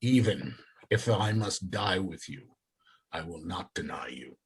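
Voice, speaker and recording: A man's voice: sits low, lively intonation, measured pace, breathy edge, full character voice. A man reading the part of the disciple Peter in a church passion play, earnest and insistent.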